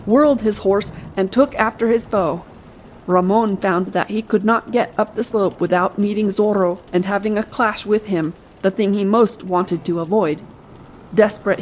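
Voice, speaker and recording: A woman's voice: mid-range voice, darkish tone, a little hiss or hum, poor audio. A woman narrating a scripted adventure audiobook.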